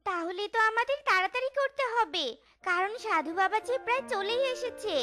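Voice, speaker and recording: A woman playing a tiny gullible cartoon bird, very high squeaky voice, children's music behind. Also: lively intonation, a little fast, sharp tone, exaggerated voice. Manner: chirpy, eager, gullible. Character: tiny excitable young tailorbird.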